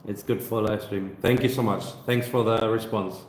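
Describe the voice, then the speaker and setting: Brief: thirties male travel vlogger, relaxed hesitant live Q&A on a phone, roomy echo, faint background ambience. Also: fairly low pitch, normal speed, a little hiss or hum. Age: 30s. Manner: relaxed, hesitant with many fillers and restarts. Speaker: travel vlogger answering viewer questions. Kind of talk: casual live stream Q&A. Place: busy hostel co-working space. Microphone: phone camera.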